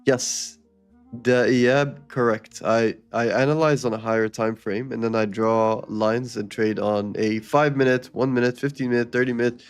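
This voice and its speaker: A man's voice: mid-range voice, fairly even intonation, moderate pace, clear, resonant tone, faint music behind. A man hosting a live trading stream, explaining charts and answering chat in a casual coaching way, often checking in with "okay?". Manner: reads viewer comments aloud and answers them. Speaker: livestream trading host.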